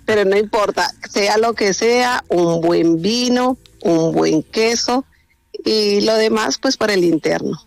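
A woman's voice: mid-range voice, slow, vocal fry, dry recording. A middle-aged Colombian woman calling a radio show, chatty and effusive, laughing often. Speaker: radio caller. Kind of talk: warm phone-in chat.

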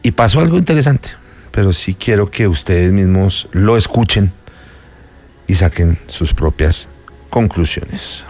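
Low, gravelly male radio host. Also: lively intonation, unhurried, a little mellow, faint music behind. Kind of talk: radio show introduction over music.

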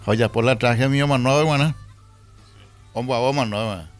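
A man's voice: medium pitch, fairly quick, faint music behind. A man chatting and reminiscing on a radio show.

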